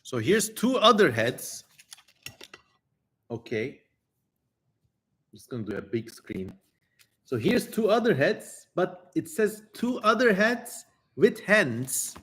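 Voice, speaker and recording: A man's voice: high-pitched, big pitch swings, takes it slowly, long pauses, a bit bright, slightly rough. A man hosting a casual livestream, reading aloud and commenting in a wry, playfully theatrical way. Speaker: livestream host.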